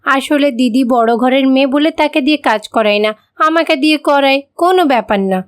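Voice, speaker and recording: A woman's voice: high voice, moderate pace, lightly acted. A woman voicing a meek, forgiving, dutiful young daughter-in-law in a cartoon. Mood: patient. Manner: submissive, soothing.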